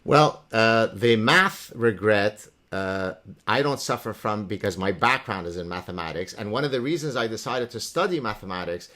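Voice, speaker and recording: Lebanese-born host, man, Arabic first language, lowish voice, animated storyteller. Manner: animated, storytelling, quick to laugh. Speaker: podcast host. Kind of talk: conversational interview.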